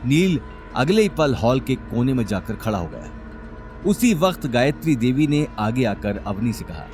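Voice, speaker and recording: A man tells a scripted story as a dramatic narrator. His medium-pitched voice moves with animated intonation. Faint music runs underneath. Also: unhurried.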